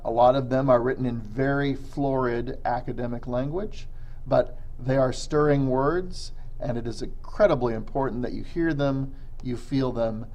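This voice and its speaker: A male teacher narrating a lesson, speaking earnestly and deliberately to his students. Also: medium pitch, darkish tone.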